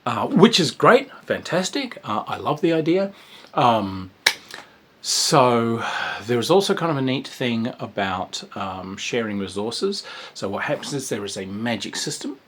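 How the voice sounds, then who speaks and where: A man's voice: mid-range voice, big pitch swings, unhurried, a bit bright, slight background noise. A man giving a casual spoken review of a tabletop game, chatty and meandering, with frequent ums and restarts.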